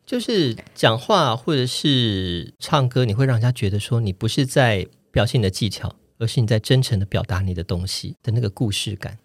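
A man's voice: fairly low pitch, animated, a little fast, hardly any pauses, slightly rough, no echo. A man with a Taiwanese Mandarin accent, a voice actor chatting casually as a guest. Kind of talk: casual, animated chat.

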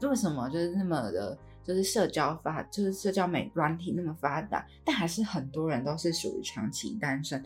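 A woman's voice: deep voice, expressive, fairly quick, few pauses, breathy edge. A woman in her early twenties with a Taiwanese Mandarin accent co-hosts a casual, conversational podcast. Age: early 20s. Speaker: podcast co-host. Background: background music with guitar.

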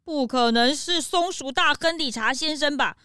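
A woman voicing an eager, excitable young detective's sidekick, childlike and a little whiny, in a children's story. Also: high-pitched, normal speed, no room sound, studio quality. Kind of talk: children's audio story acting.

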